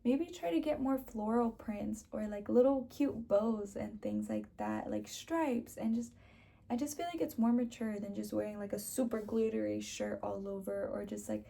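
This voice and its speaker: A woman's voice: mid-range voice, animated, moderate pace, creaky, dark tone. A young woman with a sore throat, chatting casually to camera as a beauty vlogger and sprinkling her talk with "like".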